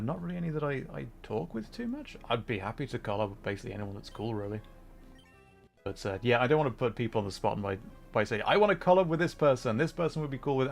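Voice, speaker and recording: A man with a medium-pitched voice chats on a gaming livestream. He is thoughtful and self-effacing, often hesitating as he moves between casual conversation and commentary on play. Music plays softly behind him. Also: creaky. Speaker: livestream host, VTuber gamer. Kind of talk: casual chat mixed with game commentary.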